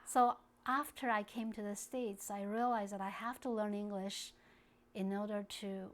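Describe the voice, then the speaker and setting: A woman from China, speaking English as a later language, answering interview questions in a halting way with many restarts. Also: medium pitch, fairly even intonation. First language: Kazakh. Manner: halting, searching for words, frequent restarts. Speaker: guest activist.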